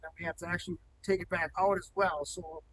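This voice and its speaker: A man's voice: high-pitched, steady tone, fast, a bit bright, far from the mic, a little hiss or hum. A man in his thirties, a cattle farmer talking plainly in an on-site interview in a large barn, with frequent ums and restarts. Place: indoor cattle barn, large, open-sided.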